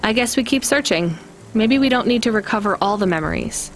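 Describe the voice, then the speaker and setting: An actress playing a practical, inquisitive young courier in a video game, talking in a wry, matter-of-fact way. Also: female voice, mid-range voice, animated, a little fast, a little hiss or hum, lightly acted. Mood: wry, probing.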